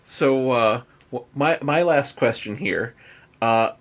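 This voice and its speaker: A man hosting a casual chat, working his way through questions in a halting, rambling way with repeated words. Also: mid-range voice. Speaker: podcast host, interviewer.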